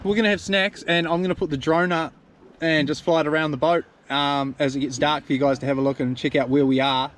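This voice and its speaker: A man's voice: a little high, steady tone, fast talker. A man in his thirties with a New Zealand accent, chatting casually to an action camera on a small boat at sea. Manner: laid-back, chatty, says "eh" at sentence ends. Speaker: fishing vlogger. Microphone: action camera close up. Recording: outdoor boat noise and water.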